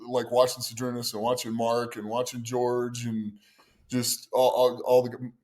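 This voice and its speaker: A middle-aged man hosting a podcast, telling stories excitably with stammering restarts. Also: mid-range voice, a little slow. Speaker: podcast host. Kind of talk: casual podcast chat.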